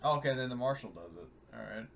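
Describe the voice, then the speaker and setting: Animated man chatting over a tabletop game. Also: medium pitch, fast talker, creaky, crowd noise.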